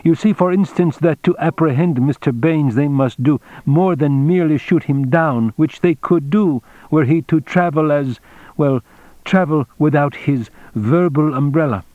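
A man voicing an elderly Japanese general in an audiobook, fatherly and shrewd, with formal, measured phrasing. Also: fairly high pitch, dark tone, dry recording, muffled recording, voice pushed up, natural voice. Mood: explanatory, composed.

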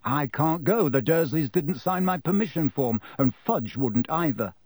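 A man's voice: a little high, animated, unhurried, a little mellow, breathy edge, no room sound, studio quality. Man voicing a glum, resigned schoolboy. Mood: glum, bitter. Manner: heavy, bitter.

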